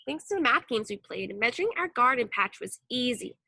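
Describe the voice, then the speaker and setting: A woman reading a children's story aloud in an expressive narrating style. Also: a little high, brisk, gravelly, no echo. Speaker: storybook reader.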